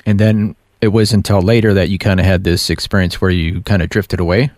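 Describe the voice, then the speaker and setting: A man's voice: low voice, flat delivery, brisk, a little mellow, no room sound, studio quality. A man hosting a radio interview, asking guiding questions and giving short affirmations. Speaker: radio show host.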